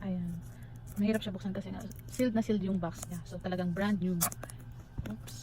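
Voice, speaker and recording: A woman's voice: medium pitch, steady tone, a little fast, mellow. A Filipino woman vlogger chatting casually to viewers in an unboxing and review style, mixing in English informally. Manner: informal Tagalog-English code-switching.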